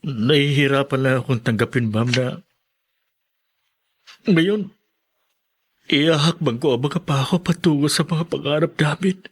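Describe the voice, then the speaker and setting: A man's voice: fairly high pitch, measured pace, pauses often, husky. An actor playing a loyal young student grieving for his friend in a podcast drama, earnest and heavy-hearted. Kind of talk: podcast radio drama acting.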